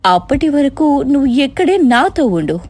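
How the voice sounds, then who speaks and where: A woman's voice: sits high, sing-song, moderate pace, a little mellow, no room sound, clean studio sound. A woman voicing a young, westernised foreign-raised daughter-in-law in a cartoon story, mixing English phrases into her speech. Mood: chatty, bossy. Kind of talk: moral story cartoon voice acting.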